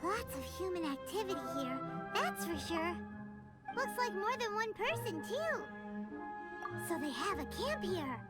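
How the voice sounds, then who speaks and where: A tiny floating companion with a very high, squeaky voice that jumps all over the place. She is excitable, blunt and chatty, and game music plays loudly behind her. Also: a little slow, full character voice. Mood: suspicious. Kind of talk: game cutscene voice acting.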